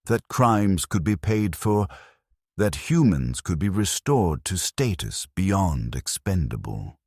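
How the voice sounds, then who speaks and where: Very deep, solemn male narrator. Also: big pitch swings, a little mellow, slightly rough, no room sound, studio quality. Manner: solemn, grave, measured, deliberate phrasing. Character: bleak, resigned ghost of a condemned legionnaire. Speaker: story narrator. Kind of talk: scripted first-person dark sci-fi narration, dramatic monologue.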